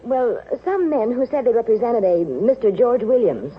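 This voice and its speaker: A woman playing a frightened, hesitant young woman in a 1940s radio drama, speaking in an anxious, halting way. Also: expressive, breathy edge. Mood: nervous. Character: frightened, hesitant young female trucking business owner. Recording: muffled old radio recording, faint noise. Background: vehicle rumble.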